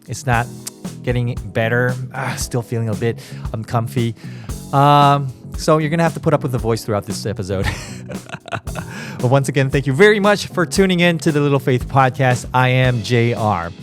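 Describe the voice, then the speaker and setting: A man hosting a casual solo podcast, talking in a chatty, animated way, his voice raspy from a cold. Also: mid-range voice, moderate pace, music in the background. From Taiwan. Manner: chatty, animated, emphatic asides. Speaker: podcast host.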